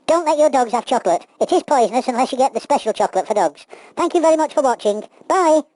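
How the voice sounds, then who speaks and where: A sweet young pantomime princess, acted out by a woman, gullible and affectionate. The voice sits fairly high and sounds dry and close, as in a children's storybook tale. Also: animated, quick pace, warm tone, dry recording. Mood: friendly.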